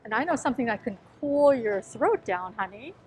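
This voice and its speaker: A hoarse woman with a Midwestern American accent, chatting casually with her husband while shopping. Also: fairly high pitch, lively intonation, normal speed, a little mellow, poor audio. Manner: helpful, points things out. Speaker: host's wife, co-host. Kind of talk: casual chat. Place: grocery store aisles.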